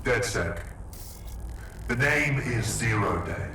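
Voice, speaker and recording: An actor playing an ominous masked hacker villain in a game, in an echoey, noisy transmission. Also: male voice, medium pitch, expressive, slow, recorded from a distance, over music. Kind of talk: game cutscene acting.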